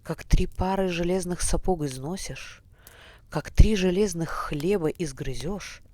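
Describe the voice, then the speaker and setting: A man voicing a wise enchanted young princess in a storybook tale, pleading in a drawn-out farewell. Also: high-pitched, darkish tone, pitched above the performer's own voice. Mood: sorrowful.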